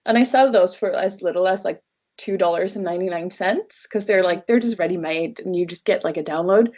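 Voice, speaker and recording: A woman's voice: fairly low pitch, brisk, darkish tone, muffled recording. A young woman from Norway, a native Norwegian speaker, answering interview questions conversationally in English as a travel blogger guest.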